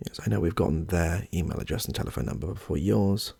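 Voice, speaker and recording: A British man playing a polite, chatty therapist in a gentle, soft-spoken ASMR roleplay. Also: deep voice, fast talker, gravelly, breathy edge, exaggerated voice. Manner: gentle, reassuring, murmuring small approvals. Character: polite, chatty, slightly odd male therapist greeting a client.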